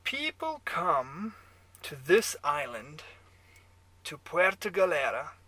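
A man acts out a menacing, drunken German man in a story reading. His voice is raised and swooping, with a German accent. He talks slowly and forcefully, dragging out sneering, taunting questions. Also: on the high side, sing-song, husky, heavily acted. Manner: taunting, halting questions with tag "yes?". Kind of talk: dramatised character dialogue in a story reading.

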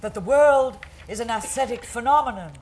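A man's voice: extremely high pitch, fairly quick, slight background noise, pitched above the performer's own voice. A man voicing an earnest young woman intellectual who speaks solemnly and precisely.

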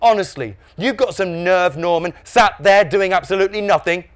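A man's voice: high voice, moderate pace, smooth, voice pushed up, natural voice. A man voicing a stressed, scolding father in a children's audiobook, nagging and strained. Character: stressed, exasperated father scolding his teenage son.

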